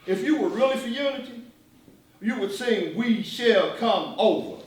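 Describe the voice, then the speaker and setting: A man's voice: extremely high pitch, expressive, moderate pace, a little hiss or hum. A man playing a fiery, mocking militant civil rights leader in a stage play, speaking in declamatory rhetoric. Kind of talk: theatrical one-act stage play acting. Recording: echoey stage recording from far off.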